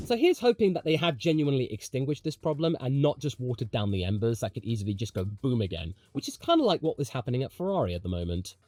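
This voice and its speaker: A man's voice: fairly high pitch, darkish tone, dry recording. A British man hosting a commentary video, speaking in a chatty, colloquial and emphatic way with plenty of opinion. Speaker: motorsport commentary video host.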